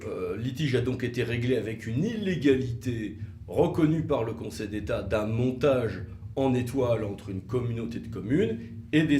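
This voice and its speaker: A man's voice: mid-range voice, a little mellow, slight background noise. Echoey male legal explainer, conversational. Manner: conversational, hesitant pauses, rhetorical questions. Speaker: legal commentator for a law firm, co-presenter. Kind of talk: explanatory legal video, semi-scripted two-hander. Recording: roomy, echoing sound.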